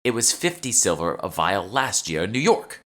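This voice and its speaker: A man reads the part of a young woman officer of a guild in a fantasy audiobook. She is impatient and curt, with a sarcastic bite. The delivery is bright and forceful, with lively swings in pitch. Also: normal speed. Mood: irritated. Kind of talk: audiobook character dialogue.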